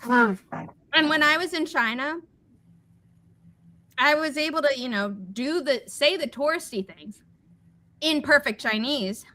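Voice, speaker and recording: A woman's voice: high voice, takes it slowly, pauses often. A woman chatting casually and telling personal stories, animatedly acting out bits of dialogue. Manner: animated, acts out quoted dialogue. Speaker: livestream host. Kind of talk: casual chat, personal anecdotes.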